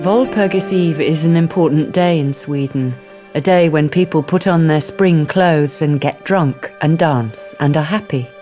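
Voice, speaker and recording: A female narrator reads scripted radio drama narration. Her voice is warm and a little low, with quiet music behind it. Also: a little slow, few pauses. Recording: faint music underneath.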